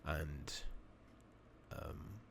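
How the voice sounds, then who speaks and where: A man giving soft-spoken, partly whispered ASMR commentary on a game, muttering casually to himself on a noisy home mic with clicking. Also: very slow. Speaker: ASMR gaming streamer. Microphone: makeshift rigged-up close microphone.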